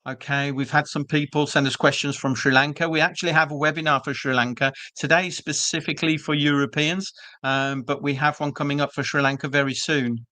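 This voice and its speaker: A man's voice: fairly high pitch, steady tone, fairly quick, bright, forceful tone, no room sound. A British man presenting an online webinar for a business school, chatty and reassuring with frequent ums and uhs, speaking over a video call. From Britain.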